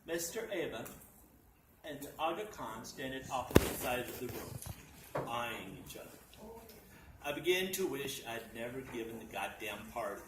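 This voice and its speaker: A man narrates a comic short story to a live gathering with deliberate, dramatic emphasis. His voice sits at a middle pitch. The recording is distant and echoey, with clear background noise. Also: expressive. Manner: theatrical, deliberate emphasis with sudden swells. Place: library function room at a live reading. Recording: echoey room, distant mic, noisy.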